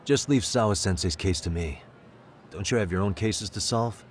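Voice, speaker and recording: An actor playing a calm, protective detective in a game drama, talking in a measured, matter-of-fact way. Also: male voice, fairly low pitch, slight background noise, lightly acted. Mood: firm, serious. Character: calm, steady male detective, frank and protective. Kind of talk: dramatic game cutscene dub acting.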